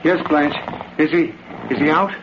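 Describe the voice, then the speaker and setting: An American actor playing an anxious, hesitant, submissive accomplice in an old-time radio thriller. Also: male voice, a little high, moderate pace, close to an everyday voice. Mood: nervous. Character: nervous, submissive male business partner, reluctant accomplice. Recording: noisy, muffled vintage radio broadcast.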